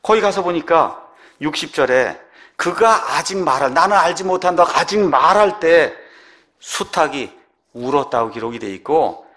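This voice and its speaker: A man's voice: on the high side, expressive, moderate pace, fairly bright tone. A man preaching a sermon, asking the congregation questions and acting out the lines he quotes. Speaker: pastor.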